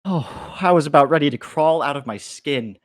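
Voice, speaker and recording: A man's voice: a little high, normal speed, dry recording, studio quality, natural voice. A man playing a nervous, self-deprecating college freshman with a hesitant delivery in a script table read. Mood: relieved, shy. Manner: hesitant, stammering when nervous.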